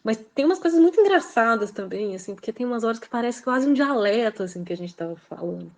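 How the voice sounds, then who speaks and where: A woman's voice: on the high side, animated, fast talker. Woman guest with a Minas Gerais accent, reflective and hesitant in casual talk. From Belo Horizonte, Brazil. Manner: reflective, hesitant, fills with "assim", laughs often. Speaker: guest author. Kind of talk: casual interview conversation.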